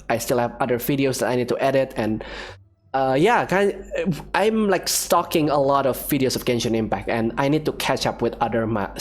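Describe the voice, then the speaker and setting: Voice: medium pitch, a little mellow. A video game streamer giving casual, chatty commentary to viewers, rambling and thinking aloud. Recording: game music behind the voice. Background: video game music.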